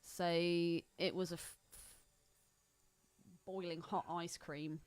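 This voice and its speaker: A woman's voice: lowish voice, little pitch movement, very slow. A woman co-hosting a podcast, chatting casually about a film in a blunt, sceptical way. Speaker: podcast co-host.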